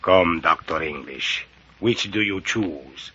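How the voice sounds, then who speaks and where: Husky, menacing radio villain. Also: male voice, low-pitched, bright, forceful tone, heavily acted. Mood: smooth menace. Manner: formal, deliberate, Spanish-sprinkled speech. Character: menacing, mock-courteous villain holding prisoners, commanding and cruel. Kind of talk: 1940s radio acting. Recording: muffled, lo-fi vintage radio recording.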